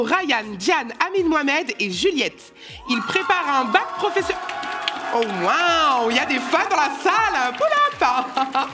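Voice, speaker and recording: A woman hosting a stage evening, announcing groups and joking between introductions. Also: high-pitched. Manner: lively, joking. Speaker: host.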